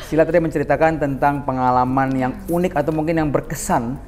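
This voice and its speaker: A man's voice: a little high, fairly even intonation, fairly quick, a little mellow. Male host, casual and chatty, code-switching, echoing hall with faint noise. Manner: chatty, mixes English phrases into speech. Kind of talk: casual interview and pageant hosting.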